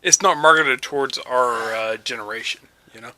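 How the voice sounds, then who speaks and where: A man's voice: mid-range voice, normal speed, sharp tone, no room sound. A man co-hosting a podcast in a casual, conversational way. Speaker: podcast co-host. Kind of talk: casual chat.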